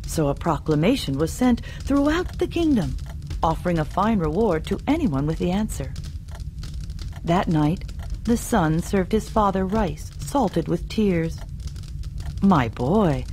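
Woman reading a folk tale real slow, lively pitch, mellow voice, faint music under. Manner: slow, expressive. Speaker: storyteller. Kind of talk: scripted folktale narration for learners.

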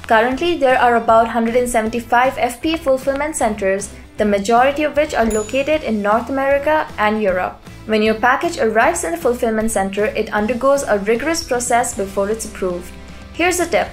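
A woman reading a scripted explainer narration in an even, polished voice-over. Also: on the high side, brisk. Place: voice-over over stock footage. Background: soft background music.

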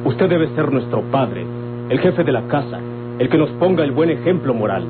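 An actor playing an upright, reproachful grown son, speaking formally and moralizing in an old radio drama. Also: male voice, on the high side, animated, moderate pace, close to an everyday voice. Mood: stern, moralizing. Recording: muffled, hissy old radio recording.

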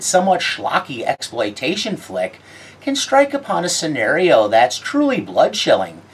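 A low, husky woman's voice swoops widely up and down as she delivers scripted horror film commentary. Her tone is wry and sardonic, with a theatrical lilt on her asides. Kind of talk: scripted video-essay commentary.

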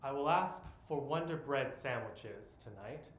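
A man in his twenties performing an autobiographical spoken-word monologue on stage, with dramatic, deliberate phrasing and long pauses. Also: mid-range voice, expressive. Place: live on stage in a theatre. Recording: echoey theatre, live stage recording, background noise.

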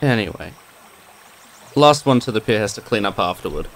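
A man's voice: fairly low pitch, expressive, normal speed, a bit bright, slight background noise. A man playing a boisterous but sincere young country brother in a roleplay audio. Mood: playful, sincere.

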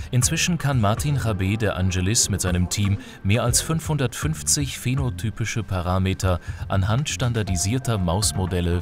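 Deep-voiced male documentary narrator. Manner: measured, formal narration. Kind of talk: scripted documentary voice-over.

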